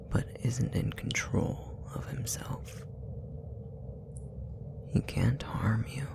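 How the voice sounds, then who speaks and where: A man voicing a possessive, sadistic demon in an ASMR roleplay, whispering slowly with a breathy creak and taunting, mock-affectionate menace. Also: on the low side, heavily acted. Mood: mocking, goading.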